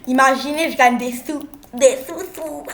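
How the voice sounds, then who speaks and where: High-pitched girl with a cold, chatty. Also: steady tone, moderate pace, reverberant. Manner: chatty, playful, swings from loud exclamations to mumbles. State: has a cold. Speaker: gaming youtuber. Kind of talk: casual gameplay commentary.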